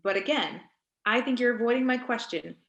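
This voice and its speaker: Woman doing a pushy doctor guest grilling someone, deliberate n pointed, play reading over a echoey call. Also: a little high, muffled recording, lightly acted. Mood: insistent. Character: probing, insistent woman doctor guest on a talk show. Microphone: video call microphone. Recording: echoey room on video call.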